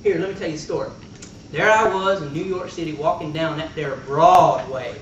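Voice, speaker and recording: A man's voice: on the high side, normal speed, highs cut off. A man telling a comic tall tale to a live audience in a folksy country manner with rustic slang. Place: meeting room before an audience. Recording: echoey, noisy room recording from far off.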